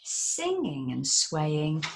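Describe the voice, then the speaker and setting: A woman reading a rhyming picture book aloud in an animated, theatrical way. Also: sits low, extremely slow, gravelly, slight background noise. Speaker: narrator.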